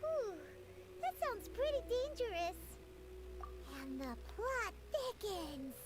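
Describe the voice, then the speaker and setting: A woman's voice: very high-pitched, very animated, takes it slowly, heavy background noise, exaggerated voice. A woman voicing a small, chirpy fairy-like female companion in a video game, who speaks of herself in the third person. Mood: curious, explaining. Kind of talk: video game character voice acting.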